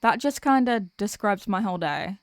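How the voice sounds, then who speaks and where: A woman's voice: mid-range voice, expressive, moderate pace, no echo, clean studio sound, natural voice. A woman playing a sweet, weary demoness girlfriend in an ASMR roleplay, chatting affectionately and intimately to her partner. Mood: exasperated venting. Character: sweet, weary demoness girlfriend venting about office work.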